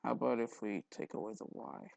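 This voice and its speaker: A man hosting a casual software tutorial, thinking aloud informally with mild exclamations. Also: medium pitch, steady tone, fairly quick, vocal fry, no room sound. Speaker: tutorial host.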